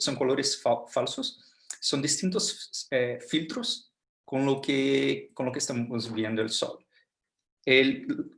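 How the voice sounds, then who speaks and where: A man's voice: medium pitch, a bit bright. A man in his thirties from Brazil, a native Portuguese speaker with a heavy Portuguese accent, giving an astronomy lecture over a video call, explaining plainly with many fillers and restarts. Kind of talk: science lecture.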